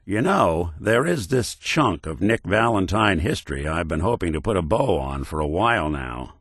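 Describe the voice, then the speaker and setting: An actor playing a world-weary American robot detective in a video game, with a wry, hesitant, hard-boiled noir delivery. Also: male voice, low-pitched, very animated, normal speed, fairly bright tone, breathy edge, no echo, studio quality, exaggerated voice. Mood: determined. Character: world-weary synth detective, a machine with an old-time cop's personality.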